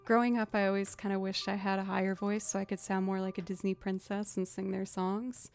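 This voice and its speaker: A young woman with a low voice, chatting casually and rambling to her live stream audience. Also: level tone, a little fast, a little mellow, clean tone, faint music behind. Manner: chatty, rambling, self-deprecating. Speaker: streamer and musician.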